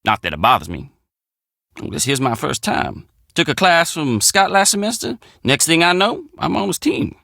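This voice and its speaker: Animated folksy country handyman. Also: a little high, normal speed, gravelly, no echo, clean studio sound, exaggerated voice. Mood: wry, sincere. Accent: American country drawl. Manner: folksy, plainspoken country phrasing. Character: folksy young handyman, simple country boy, friendly and plainspoken. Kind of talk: video game character dialogue.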